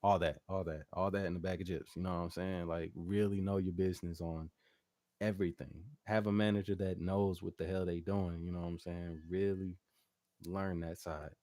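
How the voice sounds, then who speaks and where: Male music artist interviewee, very low voice, dry close sound, slangy rambling casual talk. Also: flat delivery, moderate pace, darkish tone, no room sound. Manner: slangy, rambling, frequent "you know what I'm saying". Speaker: guest recording artist and music producer.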